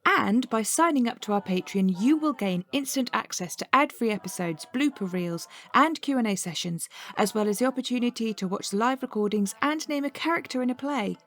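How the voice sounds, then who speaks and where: A woman's voice: a little high, animated, a little fast, few pauses. A woman announcer giving a scripted promotional read for a podcast.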